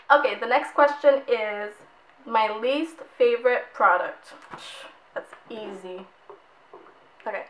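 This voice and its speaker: Hoarse young woman chatting about makeup. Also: on the high side, fairly bright tone, slight background noise. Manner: chatty, rambling, repeats words for emphasis. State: hoarse, losing her voice. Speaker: beauty vlogger. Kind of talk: casual product review. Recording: echoey room.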